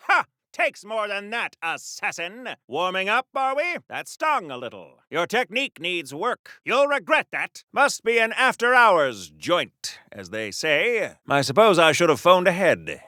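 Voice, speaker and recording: A grandiloquent golden-age superhero swordsman for a video game. His voice sits high and swoops widely, with flowery archaic phrasing and boastful melodrama straight out of a 1940s radio serial. The recording is dry and close. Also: pushed and bright, no echo, full character voice. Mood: defiant. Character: pompous, old-timey theatrical masked superhero swordsman, male, cheerfully boastful.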